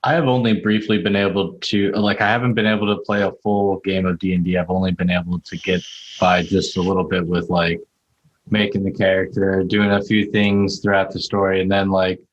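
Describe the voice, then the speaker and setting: A man with a deep, low voice co-hosts an online podcast. He talks casually and slangily, as if among friends. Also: little pitch movement, fairly quick, muffled recording. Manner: slangy, informal. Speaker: podcast co-host. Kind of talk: casual chat. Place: online video call.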